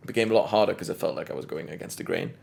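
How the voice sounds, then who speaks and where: A young man from Belgium sits as a podcast guest. His voice sits low and turns gravelly, and he speaks in a relaxed, reflective way, laughing now and then between his points. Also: racing. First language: Dutch. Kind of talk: conversational interview.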